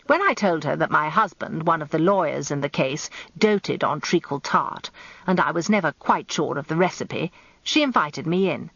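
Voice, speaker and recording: Observant wry lawyer's wife, English accent, confiding radio drama narration, dry close sound, slightly dull recording. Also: female voice, low-pitched, animated, normal speed, creaky, fairly bright tone, no echo, full character voice.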